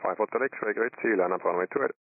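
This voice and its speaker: Fast-talking man on narrowband control tower radio. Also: lowish voice. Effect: aviation radio. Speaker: air traffic tower controller. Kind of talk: clipped radio instructions. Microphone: air traffic radio transmission. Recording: narrowband airband radio with faint static.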